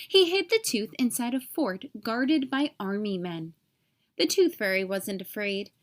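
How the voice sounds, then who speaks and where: A woman reading a children's picture book aloud as an expressive storyteller. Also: on the high side, unhurried.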